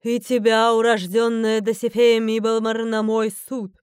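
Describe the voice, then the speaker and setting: An imperious mother goddess of a fantasy audiobook, acted out by a woman. Her voice is smooth and resonant, recorded dry and close, and she declaims with stern grandeur and quick irritation. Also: medium pitch, level tone, dry recording, close to an everyday voice. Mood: majestic. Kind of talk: fantasy audiobook character acting.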